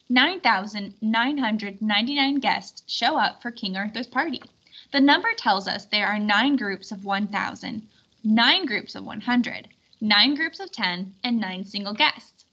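A woman reading a children's storybook aloud in an expressive, clearly instructional way. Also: on the high side, muffled recording. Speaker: storybook reader.

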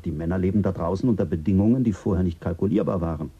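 A deep husky mission official. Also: male voice, warm tone, dull audio. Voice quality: husky, gravelly. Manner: measured, explanatory. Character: calm mission official Lloyd explaining the voyage. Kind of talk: German radio drama acting.

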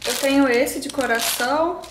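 A woman's voice: sits high, moderate pace, roomy sound, background noise. A young woman in her twenties from Brazil, chatting through a cooking tutorial in her home kitchen and explaining each step to her viewers. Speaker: cooking vlogger.

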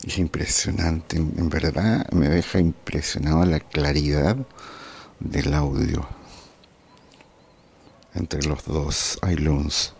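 A man from Chile with a Chilean Spanish accent, narrating an informal radio demonstration in a halting way on a handheld camera. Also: rumbling low, level tone, creaky. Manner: halting, with many pauses. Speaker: amateur radio operator and vlogger. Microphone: handheld camera's built-in microphone. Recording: noisy handheld camera audio.

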